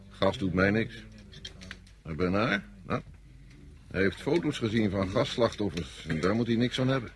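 An actor playing a fatalistic, brooding soldier in a Dutch radio drama, speaking philosophically. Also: male voice, fairly low pitch, a little hiss or hum, close to an everyday voice. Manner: philosophical, reflective. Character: fatalistic, brooding soldier curious about death.

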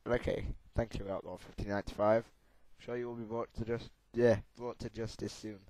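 A hoarse young man with a sore throat, giving excitable let's-play commentary while gaming. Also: on the low side, a little fast, dry recording, rough recording. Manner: excitable, yelps and laughs at crashes. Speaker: gamer.

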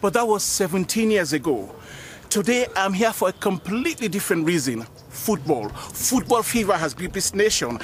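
A man's voice: high-pitched, very animated, normal speed, slight background noise. A middle-aged man from Sierra Leone presenting a documentary report, speaking earnestly and reflectively outdoors. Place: outdoors at a football ground.

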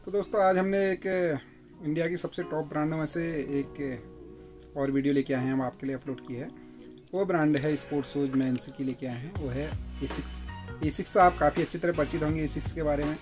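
A man in his thirties, a shop owner, showing off shoes to the camera in an eager, repetitive sales pitch in his shop. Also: brisk, over music. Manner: repetitive, enthusiastic salesman patter. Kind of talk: product showcase sales pitch. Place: indoor shoe shop with stacked boxes.

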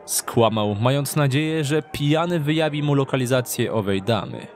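A man's voice: medium pitch, normal speed. Male audio drama narrator over faint music. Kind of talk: scripted dramatic storytelling narration. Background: background music.

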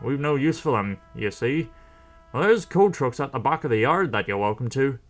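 A man voicing a gruff, no-nonsense shunter engine in a children's story, with a brusque, scolding, colloquial manner. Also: medium pitch, lively intonation, moderate pace, faint music behind, natural voice. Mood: dismissive.

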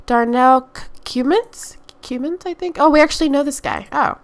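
A bubbly woman with a slightly high voice chats over her gameplay. She talks casually and excitedly, often addressing the characters on screen directly. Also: moderate pace. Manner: bubbly, chatty, talking to her game characters. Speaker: gameplay commentator. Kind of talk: casual let's-play commentary.

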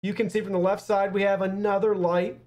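High-voiced young man, upbeat. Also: flat delivery, a little fast, a little mellow. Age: young adult. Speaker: product reviewer. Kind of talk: scripted product review to camera. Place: small indoor studio.

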